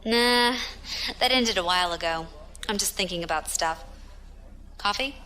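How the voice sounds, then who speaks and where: Actress as tough female space pirate, bright forceful voice, dry humour, faint background noise. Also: medium pitch, moderate pace, close to an everyday voice. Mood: relaxed, teasing. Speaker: voice actor. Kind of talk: science fiction audio drama dialogue.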